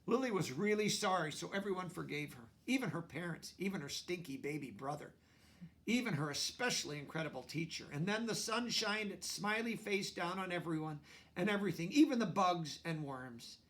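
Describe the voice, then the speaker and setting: A man's voice: sits high, lively intonation, brisk, a bit bright. A man voicing a dramatic, earnest and remorseful little schoolgirl in a storybook reading. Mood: pleading.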